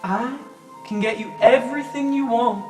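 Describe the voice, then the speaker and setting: A woman's voice: a little high, moderate pace, a little mellow, faint music behind, lightly acted. A woman playing a coaxing, sugary tempter who speaks out of darkness in an improvised tabletop game, with an echo on her voice. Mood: sweetly tempting. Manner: coaxing, familiar. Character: sugary, tempting female voice from the darkness offering bargains. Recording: echoing voice.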